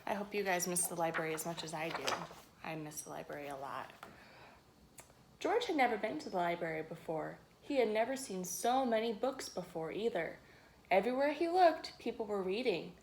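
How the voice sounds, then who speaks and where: A woman reading a picture book aloud to young children, expressively, pausing to ask them questions and add her own asides. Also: on the low side, expressive, slight background noise. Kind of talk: read-aloud storytelling with asides to young listeners.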